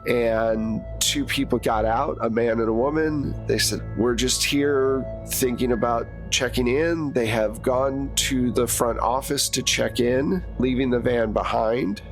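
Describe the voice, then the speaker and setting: Easygoing guy gm recapping last session, mid-pitched voice, bit of music under it. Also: measured pace, hardly any pauses. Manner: relaxed, chatty narration with fillers. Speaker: game master. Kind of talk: recapping and running a tabletop game.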